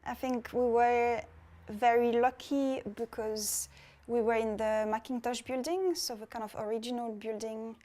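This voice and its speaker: Higher-voiced woman painter, reflective. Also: fairly even intonation, a little slow, dark tone. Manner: reflective, many I thinks and restarts. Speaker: painting student. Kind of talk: documentary interview.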